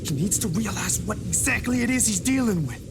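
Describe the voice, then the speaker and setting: A man's voice: high voice, expressive, brisk, very noisy, pitched above the performer's own voice. A man voicing an anxious young man from a visual novel, calling out for his friend. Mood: frustrated. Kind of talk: visual novel character read-aloud.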